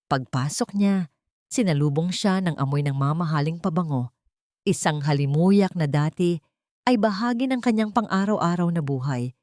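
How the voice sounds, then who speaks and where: A woman's voice: low voice, darkish tone, no room sound, clean studio sound. A woman reading scripted dramatic story narration in a solemn manner.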